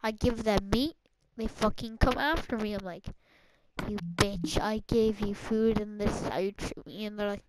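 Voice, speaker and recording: A woman's voice: mid-range voice, steady tone, moderate pace, noisy background. A young woman chatting casually with a friend while gaming, bossy and prone to swearing. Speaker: gamer. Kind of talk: casual gameplay chat with a friend.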